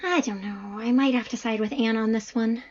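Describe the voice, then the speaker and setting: Woman playing a high-voiced, cautious young-sounding professor. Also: normal speed, lo-fi sound, lightly acted. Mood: wary, gentle. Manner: informal, tentative. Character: hesitant, friendly, cautious female professor. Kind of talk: audio drama dialogue.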